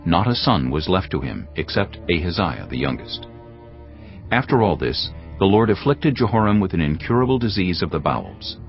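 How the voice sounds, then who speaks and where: Deep-voiced man narrating scripture. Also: big pitch swings. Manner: measured, solemn reading. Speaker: narrator of an audio Bible. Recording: dull lo-fi audio with faint music.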